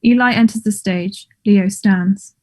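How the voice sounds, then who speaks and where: A woman narrates stage directions from a script. Her voice is very mellow and sits at an average pitch. The reading is plain and neutral, in short statements. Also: steady tone, a little slow.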